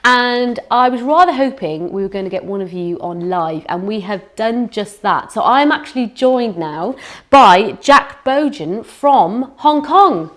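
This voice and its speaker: A woman's voice: on the high side, animated, unhurried, a bit bright. A woman hosting a live online festival broadcast with an upbeat, bubbly presenter manner. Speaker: festival host.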